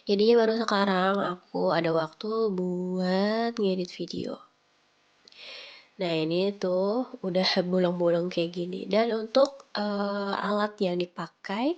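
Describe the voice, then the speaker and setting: A woman's voice: medium pitch, fairly even intonation, takes it slowly, highs cut off. Congested young woman, casual vlog narration. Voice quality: congested, nasal from a cold. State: sick with flu and sore throat. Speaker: home vlogger. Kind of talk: casual chatty vlog voice-over.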